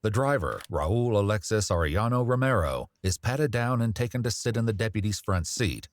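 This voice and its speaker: A man's voice: lowish voice, animated, brisk, background noise. A man reading scripted true-crime narration as a narrator.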